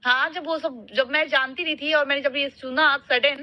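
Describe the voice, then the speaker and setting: A woman's voice: sits high, fairly even intonation, normal speed, dry recording. A woman recounting and arguing casually on a recorded group phone call. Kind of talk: animated casual argument, recounting talk.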